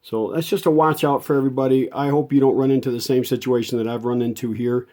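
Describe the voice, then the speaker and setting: A man's voice: medium pitch, steady tone, fast, dark tone. A man giving an unscripted, hands-on repair demonstration as a restorer, explaining things step by step in a folksy way.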